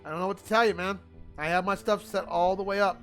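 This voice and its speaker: Loud, chatty male game streamer. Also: high-pitched, steady tone, quick pace, soft background music. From Italian family. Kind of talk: casual gaming livestream chat.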